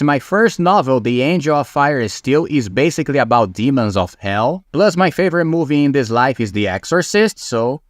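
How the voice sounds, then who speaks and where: A man talks through an anime review in a fairly high voice that leaps up and dives low. He is playful and sly, dropping his voice for teasing asides. The sound is close and dry, with no room around it. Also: dry recording. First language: Portuguese. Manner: playful, sly asides with dramatic pitch swings. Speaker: YouTube host reviewing anime. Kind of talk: casual video review.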